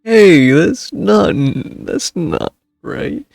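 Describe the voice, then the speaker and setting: A man's voice: sits high, sing-song, extremely slow, creaky, darkish tone, no room sound, studio quality. A man giving casual, slangy, laid-back reactions as he streams a game. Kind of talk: casual gameplay reaction commentary.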